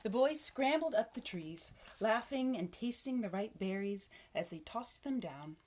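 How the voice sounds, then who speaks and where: A woman reading a children's story aloud, with expressive, measured narration and dramatic phrasing. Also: on the high side, poor audio. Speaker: storybook reader.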